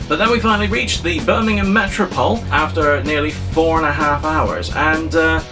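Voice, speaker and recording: A man's voice: fairly high pitch, moderate pace, distant mic. Rambling man over guitar music. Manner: rambling, self-deprecating, with frequent uhs. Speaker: vlogger. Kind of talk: casual storytelling to camera. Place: small room full of collectibles, indoors.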